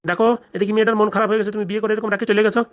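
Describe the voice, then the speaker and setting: A woman acts out a middle-aged cartoon father. He rattles along very quickly at a middling pitch. He grumbles and blusters, though he is indulgent underneath. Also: level tone, close to an everyday voice. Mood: stern, reproachful. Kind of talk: comic cartoon dialogue.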